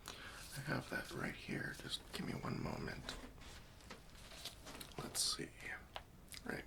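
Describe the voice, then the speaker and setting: A man playing a gentle, patient, faintly wry professional cleanup worker in a softly whispered, hesitant ASMR roleplay. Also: mid-range voice, creaky. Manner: soft, hesitant, soothing, politely tactful.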